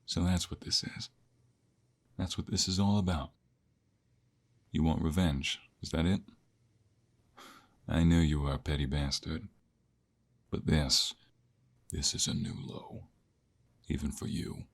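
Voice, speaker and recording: A man voicing a desperate, protective young mobster in an ASMR romance roleplay, intense and emotional, with a husky, breathy, gravelly low voice that often drops to whispers. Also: steady tone, measured pace, pauses often, exaggerated voice. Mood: bitter.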